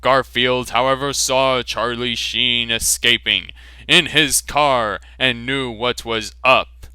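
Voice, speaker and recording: A man narrating an amateur fan fiction reading in a slow, amused, deadpan way. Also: mid-range voice, clear, resonant tone, no room sound.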